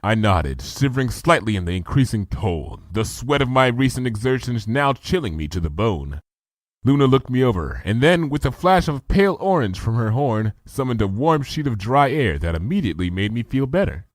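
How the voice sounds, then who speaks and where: A man narrating a story in an expressive, animated reading style. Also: mid-range voice, moderate pace, no room sound. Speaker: fanfic reader.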